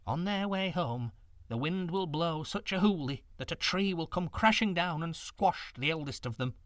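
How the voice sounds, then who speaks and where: A man's voice: fairly high pitch, expressive, normal speed, a bit bright, dry recording, clean studio sound, voice pushed up. A man voicing a very old, wise woman who speaks in a prim, scolding, solemn way. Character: hundred-year-old wise woman, stern and sorrowful.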